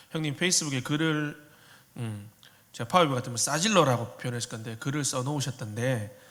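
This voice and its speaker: A man in his thirties, a pastor preaching a sermon in a relaxed, joking, conversational way, with a slight Korean regional dialect. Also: mid-range voice. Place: church sanctuary. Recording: long hall reverb.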